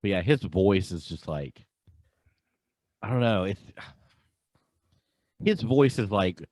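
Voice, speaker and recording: A man's voice: on the low side, lively intonation, slow pace, darkish tone, no echo. A man hosting a podcast, chatting casually about pop culture. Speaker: podcast host.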